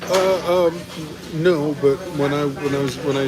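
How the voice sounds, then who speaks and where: A man's voice: a little high, fairly even intonation, a little slow, rough recording. A middle-aged man, a comedian, telling anecdotes casually and often restarting his phrases. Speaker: stand-up comedian guest. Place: restaurant interior. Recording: busy venue with crowd and background bustle.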